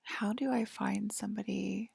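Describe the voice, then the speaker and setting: A woman doing a soft-spoken, whispery ASMR role-play as a calm alien guide, talking haltingly and matter-of-factly close to the mic. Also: medium pitch, no room sound. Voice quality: breathy, whispery. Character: calm alien abductor guiding a patient aboard a UFO.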